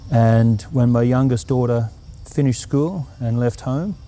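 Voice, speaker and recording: A man's voice: mid-range voice, unhurried, warm tone. A middle-aged man with an Australian accent, answering interview questions in an earnest, reflective way. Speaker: guest.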